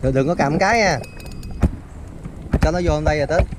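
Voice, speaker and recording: Young Southern Vietnamese man, fishing vlog host outdoors, excited calls to his friend, faint background noise. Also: fairly high pitch, brisk. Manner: excited, shouting instructions to a friend. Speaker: fishing vlogger. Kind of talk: casual fishing commentary. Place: outdoors by a forest lake.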